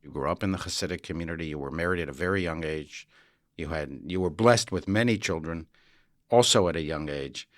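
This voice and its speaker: A man's voice: low voice, fairly quick, dry recording, clean studio sound. A man, a rabbi hosting an interview podcast with scripted introductions and questions.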